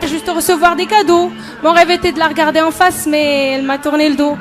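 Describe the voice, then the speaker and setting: A woman's voice: sits high, little pitch movement, fairly quick. A young woman performs spoken-word poetry in an impassioned, emphatic, rhythmic delivery. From Morocco. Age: young adult. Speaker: student poet. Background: background music.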